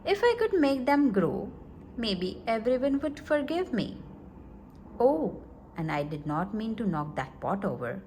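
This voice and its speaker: A woman reading a children's storybook aloud in an expressive, deliberate manner. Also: on the high side, slight background noise. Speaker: storybook reader.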